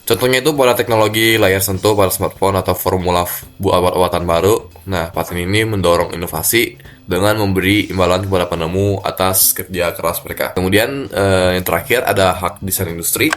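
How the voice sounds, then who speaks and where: A man with a stuffy nose giving a class presentation in a cold room, speaking haltingly with many fillers. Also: deep voice, steady tone. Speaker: student presenter.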